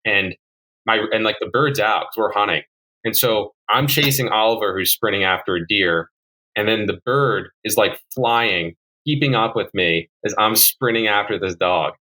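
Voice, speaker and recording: Young guy from jersey, kinda low bright voice, rambles with lots of likes and ums. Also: normal speed, poor audio. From New Jersey. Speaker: guest falconer. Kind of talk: casual podcast chat.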